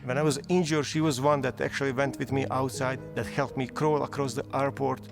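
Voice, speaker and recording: A man in his fifties, originally from Bosnia, speaking English with a Bosnian accent in an interview, halting and repeating words as he searches for phrasing. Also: mid-range voice, flat delivery, vocal fry. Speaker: award recipient. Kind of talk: recorded interview testimonial. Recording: music bed underneath. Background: music.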